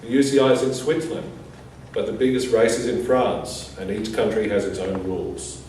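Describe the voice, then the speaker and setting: A man's voice: fairly low pitch, steady tone, moderate pace, a little mellow. Echoey, distant man reading a statement aloud. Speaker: conference speaker. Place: reverberant conference room. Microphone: distant from the speaker. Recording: echoey room, distant mic, faint noise.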